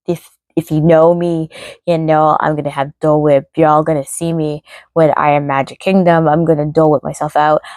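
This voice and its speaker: A woman vlogger chatting casually and ramblingly to camera, a little apologetic, her voice tired and starting to go hoarse. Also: deep voice, a little mellow, dry recording. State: tired, low energy.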